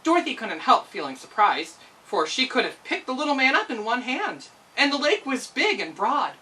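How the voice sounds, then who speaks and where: Storytime reader: woman, highly expressive children's narration, slightly distant mic. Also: normal speed.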